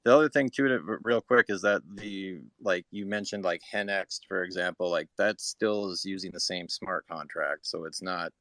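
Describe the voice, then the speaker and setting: A man explaining things in a halting, matter-of-fact way in a casual live audio chat. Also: low voice, sharp tone, dry recording. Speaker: participant reporting figures.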